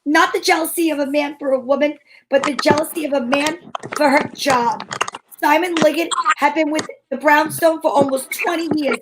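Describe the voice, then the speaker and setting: A woman playing a brisk, bossy, wry female detective who narrates her case in old-time radio drama style. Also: high-pitched, very noisy, close to an everyday voice. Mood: narrating, wry. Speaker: narrator and lead actor.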